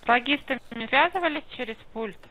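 Bright, higher-pitched female phone operator. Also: poor audio. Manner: formal, brisk, scripted politeness. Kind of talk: customer service phone call.

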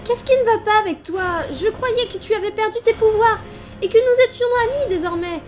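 A woman voicing a gentle, hopeful young boy in a comic dub, speaking earnestly and pleadingly. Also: very high voice, fairly quick, soft background music. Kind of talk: animated comic dub acting.

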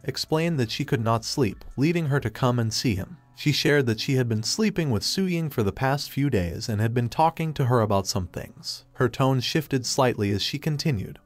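A man reads out a long story recap at a middle pitch, neither high nor low. His delivery is steady and even, moving through scenes and reported dialogue in a level storytelling tone. It sounds like scripted narration rather than free talk.

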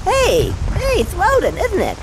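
A man's voice: very high-pitched, wildly swooping pitch, unhurried, very noisy, full character voice. A man voicing a cheeky, laid-back squirrel hero in a video game, with a casual, hesitant, stammering delivery. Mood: upbeat, curious. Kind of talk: video game cutscene acting.

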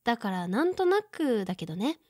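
女性が演じる表情豊かな三十代の女. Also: female voice, fairly high pitch, very animated, brisk, darkish tone, no room sound, studio quality. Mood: teasing, perceptive. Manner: casual, teasing. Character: teasing, perceptive woman of thirty-five, putting on cheer. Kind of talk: audio drama dialogue.

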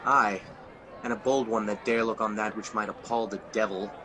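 A man's voice: lowish voice, steady tone, moderate pace, crowd noise, close to an everyday voice. A man playing a guilt-haunted king in a radio drama, speaking in a theatrical manner. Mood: horrified. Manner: theatrical, swings from host to horror. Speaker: actor.

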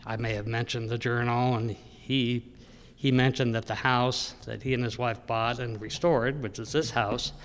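Halting older man in an echoey hall. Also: mid-range voice, steady tone. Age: 60s. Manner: halting, rambling delivery with frequent hesitations. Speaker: local history journal editor speaking at an event. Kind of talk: informal public talk at a podium. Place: indoor public room, speaking at a podium. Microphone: gooseneck podium microphone. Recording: echoey hall with long reverb.

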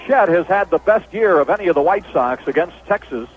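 An American man does baseball play-by-play in the manner of 1970s radio. His voice sits high for a man. The old broadcast is thin and tinny, with some noise over it. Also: animated, a little fast. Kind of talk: 1970s radio sports commentary. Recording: noisy phone-band vintage radio broadcast.

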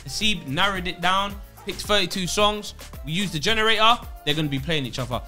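A man's voice: sits high, faint music behind. Upbeat young man hosting a podcast. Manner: excitable, quick, joking. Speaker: podcast host. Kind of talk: casual chat. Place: decorated studio room with neon shelves. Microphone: studio mic on a boom arm.

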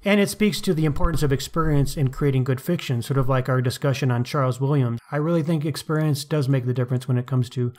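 A man's voice: mid-range voice, fast, hardly any pauses, darkish tone. A man hosting a podcast, discussing books in an informal, opinionated way with slangy asides. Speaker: podcast host. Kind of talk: casual book discussion.

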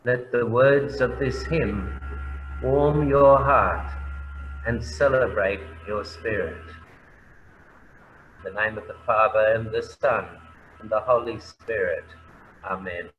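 An Australian man, a priest, giving a gentle, reverent sermon. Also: fairly low pitch, slow, long pauses, highs cut off, soft background music. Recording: echoey room, faint background noise.

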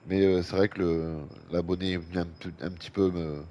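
A man's voice: deep voice, little pitch movement, fairly quick, warm tone. A man with a French accent, congested from a cold, chatting casually as he talks through a video game and reads viewer comments. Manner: chatty, breathes heavily at times. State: has a head cold, congested. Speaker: gaming YouTuber. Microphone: desk microphone with fan running nearby. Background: faint fan noise.

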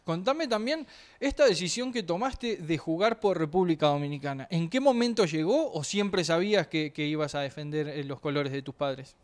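Man, high voice, South American Spanish accent, interviewer, casual questions. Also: lively intonation, moderate pace.

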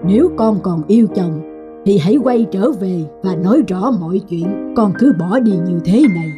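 A woman's voice: medium pitch, animated, moderate pace, over music, natural voice. A woman playing a stern, wise goddess of love in a cartoon, solemn and admonishing. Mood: advising. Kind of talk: Vietnamese cartoon dialogue.

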